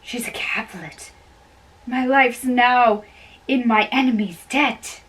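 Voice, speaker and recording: A woman playing a lovestruck, earnest young man in an amateur dramatic reading of Shakespeare, delivered in a halting, deliberate way. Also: fairly high pitch, a bit bright, slightly rough, heavily acted, poor audio. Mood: dismayed.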